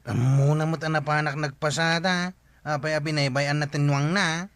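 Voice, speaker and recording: Bright, excitable Ilocano country man. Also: on the high side, moderate pace, no echo. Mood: bothered. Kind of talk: comedy radio drama acting.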